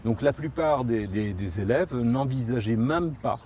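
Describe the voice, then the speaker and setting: A man whose first language is Spanish, speaking in a reflective documentary interview, thoughtful and halting, often repeating and rephrasing as he searches for words. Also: medium pitch, mellow, a little hiss or hum.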